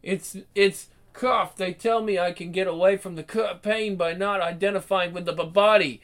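A man's voice: high-pitched, fairly even intonation, normal speed, fairly bright tone. A delirious, terrified prisoner who stammers and trips over his words. His speech is fragmented and broken. He rambles in a frightened, feverish way. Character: delirious male prisoner under torture, rambling.

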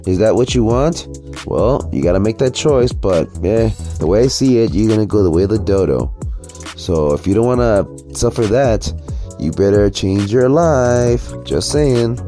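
Man, low mellow voice, casual commentary, blunt, sarcastic, profane, music underneath. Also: animated, moderate pace. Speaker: commentary vlogger. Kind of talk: casual opinionated monologue commentary.

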